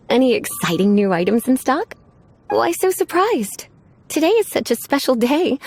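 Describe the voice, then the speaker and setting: A woman's voice: sits high, darkish tone. A woman playing a coy, languid adult female librarian in an anime game, teasing and sweetly menacing as she drawls.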